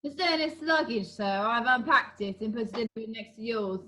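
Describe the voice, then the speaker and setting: A woman's voice: on the high side, lively intonation, fairly quick, bright, forceful tone, rough recording. Woman acting a formal, deferential manservant. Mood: dutiful. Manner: polite, matter-of-fact. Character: formal, deferential male household servant. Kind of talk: amateur play read-through. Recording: faint hum on video call.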